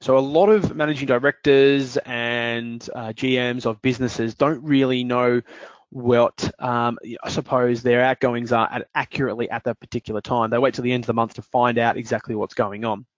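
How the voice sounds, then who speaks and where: A man walking through a software demonstration in a webinar, explaining steps with frequent fillers. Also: medium pitch, level tone, normal speed, no echo, muffled recording. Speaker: software demo presenter.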